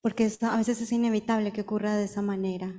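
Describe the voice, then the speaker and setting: A woman's voice: medium pitch, flat delivery, fast, mellow. A woman interpreting a talk live before a hall audience, fluent, sometimes restarting a word. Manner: fluent, sometimes restarts and lifts her voice at light moments. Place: large hall with audience, indoors.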